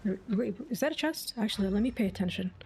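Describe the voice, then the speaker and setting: A woman's voice: mid-range voice, animated, fast talker, darkish tone, slight background noise. A young adult woman giving casual gameplay commentary as a streamer, chatty and thinking aloud. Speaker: game streamer. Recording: faint game music behind the voice.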